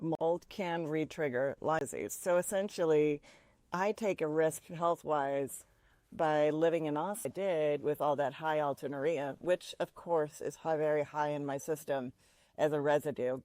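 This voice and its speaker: A woman's voice: low-pitched, warm tone, no room sound. A woman chatting casually in a rambling, upbeat vlog, talking outdoors. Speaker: vlogger.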